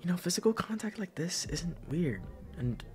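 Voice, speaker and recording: A young man playing a caring, teasing, shy best friend in an intimate ASMR roleplay, speaking softly and hesitantly. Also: high voice, big pitch swings, normal speed. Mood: gently reassuring. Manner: soft, hesitant, stammering, breathy sighs and chuckles.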